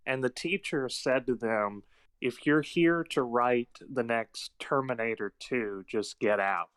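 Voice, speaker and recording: A man co-hosting a casual film podcast who tells stories in a relaxed way and laughs mid-sentence. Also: medium pitch, smooth, no echo. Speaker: podcast co-host.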